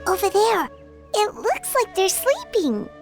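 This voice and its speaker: A woman's voice: huge pitch swings, takes it slowly, music in the background, exaggerated voice. A woman voicing a small, chirpy, curious girl companion in a video game. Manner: high, bouncy, inquisitive.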